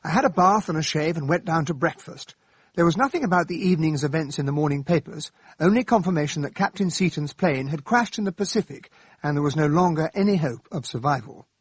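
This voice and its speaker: An actor playing a loyal, earnest English gentleman sidekick who also narrates a radio drama in a polite, plain-spoken way. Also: a little high, fairly quick, slightly rough, dry recording. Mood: measured narration.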